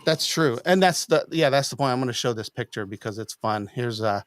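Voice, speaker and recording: A middle-aged man hosting a podcast, chatting casually in a halting, hesitant way. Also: mid-range voice, animated, fairly bright tone, dry recording, clean studio sound. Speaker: podcast host. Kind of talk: casual chat. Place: small home studio room. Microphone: boom-arm studio mic up close.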